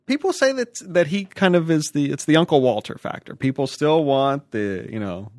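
A man co-hosting a podcast in casual banter, with a deadpan joking manner. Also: a little high, normal speed, dry recording, studio quality. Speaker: podcast co-host.

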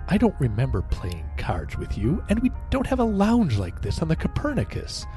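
A man's voice: on the high side, wildly swooping pitch, normal speed. A man playing a dry, world-weary older scoutmaster in a sci-fi radio adventure, wary and puzzled. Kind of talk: retro radio adventure acting. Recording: music behind the voice.

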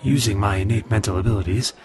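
A man's voice: fairly low pitch, fast, a little mellow, slightly rough. A man playing a mysterious, coolly flirtatious masked avenger in a radio drama parody, delivering dry, suave quips. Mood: wry. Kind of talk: old-time radio drama parody acting.

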